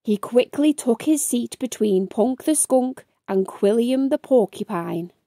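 A woman reading a children's picture book aloud in an expressive, careful storytelling manner. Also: on the high side, measured pace, dry recording, clean studio sound. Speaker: storytime reader.

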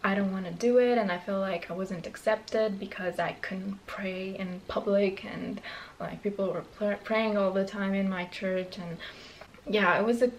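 A woman's voice: mid-range voice, steady tone, normal speed, a little hiss or hum, poor audio. A woman in her twenties with a Romanian accent, talking candidly to camera in a small bedroom, rambling with many fillers and restarts. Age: 20s. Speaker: vlogger. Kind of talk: casual talk to camera.

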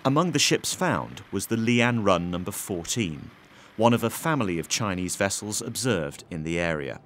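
A man giving a scripted documentary narration in a measured, even voice. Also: fairly low pitch, slight background noise. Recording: voice-over with music bed. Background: music.

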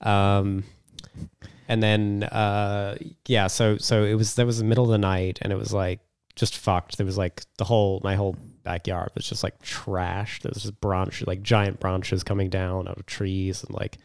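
A man hoarse and raspy with a cold, chatting casually as a podcast co-host in a sweary, self-deprecating way. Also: lowish voice, level tone, normal speed, a little mellow, dry recording. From a subtropical island. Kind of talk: casual chat.

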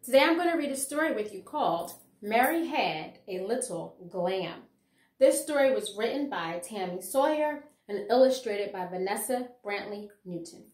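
A middle-aged woman speaking as an educator who shares children's picture books, with deliberate, expressive delivery. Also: medium pitch. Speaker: educator reading a children's picture book aloud. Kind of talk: expressive read-aloud and book commentary for children and teachers. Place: small room at home, indoors. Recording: roomy indoor echo.

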